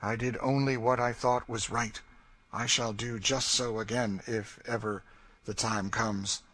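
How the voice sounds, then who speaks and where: A man doing a gentle, devout, steadfast old servant. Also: medium pitch, unhurried, no room sound. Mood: earnest. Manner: calm, earnest, pious.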